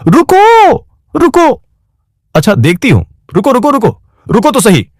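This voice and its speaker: A man's voice: very high voice, huge pitch swings, measured pace, dry recording, studio quality, pitched above the performer's own voice, heavily acted. A man voicing a frightened young woman captive in a story, pleading fearfully. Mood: panicked pleading.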